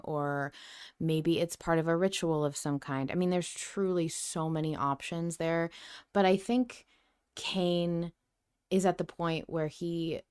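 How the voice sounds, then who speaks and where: A woman's voice: low voice, a little mellow, clear, resonant tone. A woman co-hosting a casual podcast discussion, thinking aloud with emphatic speculation. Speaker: podcast co-host. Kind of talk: casual discussion and speculation about an audio drama.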